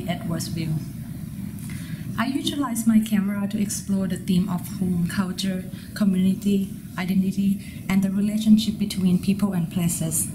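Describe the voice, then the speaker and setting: A young woman from Thailand with a Thai accent, a graduate art student, formally introducing her work with careful phrasing and small slips. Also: medium pitch. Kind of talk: self-introduction as an artist. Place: indoor art gallery. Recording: steady background noise.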